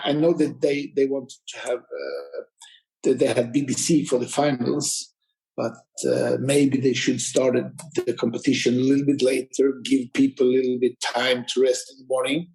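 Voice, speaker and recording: A man with a Croatian accent talks in a gravelly, mid-pitched voice, often pausing and restarting. He sounds tired. He speaks over a video call. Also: darkish tone, rough recording. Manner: halting, many fillers and restarts. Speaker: guest coach. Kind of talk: casual conversation.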